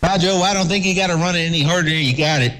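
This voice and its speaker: A relaxed man on the radio with a slightly high-pitched voice, chatting easily about his gear. A faint hiss sits under his talk. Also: rapid-fire. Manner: laid-back, says "man" often. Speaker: amateur radio operator. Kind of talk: casual radio chat.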